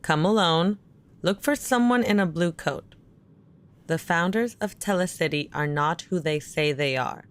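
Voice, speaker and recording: A woman playing a worried, caring and suspicious character in an audio drama, speaking naturally and earnestly with gentle insistence. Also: on the low side, a little slow, clear, resonant tone, natural voice. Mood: intent, wary.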